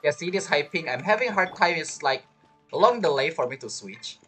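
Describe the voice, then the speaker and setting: A woman's voice: deep voice, lively intonation, brisk, a bit bright. A woman who is not a native English speaker, chatting casually while livestreaming a game in a hesitant, rambling way that circles back on itself. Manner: hesitant, rambling, repeats phrases. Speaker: game streamer. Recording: game music behind the voice. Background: video game music and character voices.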